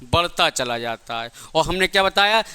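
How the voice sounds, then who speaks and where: A man's voice: fairly high pitch, expressive, normal speed, pushed and bright, clear, resonant tone. A man teaching a lecture in a didactic way, repeating points and asking rhetorical questions. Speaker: physics teacher for exam coaching. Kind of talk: classroom lecture.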